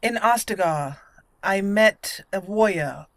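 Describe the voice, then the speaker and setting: A woman voicing a thoughtful female elf mage, telling her story in character with deliberate phrasing. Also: mid-range voice, expressive, fairly bright tone, no room sound. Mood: reflective, solemn.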